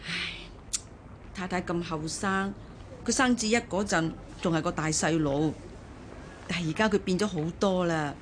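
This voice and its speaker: A woman's voice: fairly low pitch, moderate pace, pauses often, slight background noise, natural voice. An actress with a Hong Kong accent playing a loyal, well-meaning household helper in a radio drama, chatty and a little fretful as she explains. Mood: sympathetic.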